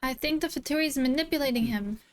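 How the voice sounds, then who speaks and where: High-pitched woman reacting to a game. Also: fast talker. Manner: expressive, repeats short phrases. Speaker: game streamer. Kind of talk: casual live gameplay reaction commentary.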